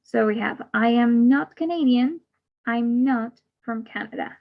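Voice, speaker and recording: Slow, patient woman teacher. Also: a little high. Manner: slow, repeats each example then translates it. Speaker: English teacher. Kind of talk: bilingual grammar lesson. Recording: muffled lo-fi audio.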